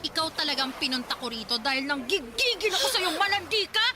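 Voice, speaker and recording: An actress playing a spiteful, jealous young ex-girlfriend, shrill and aggressive, in a Filipino radio drama. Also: expressive, quick pace. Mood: furious, spiteful.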